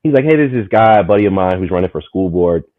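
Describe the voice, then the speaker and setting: A man in his thirties from Maryland, a guest telling his story in a lively, rambling way, full of "you know what I'm saying". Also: deep voice, fast talker, no room sound. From Maryland, USA. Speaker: guest, community market founder. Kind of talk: casual storytelling conversation. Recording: tinny, phone-like, dry.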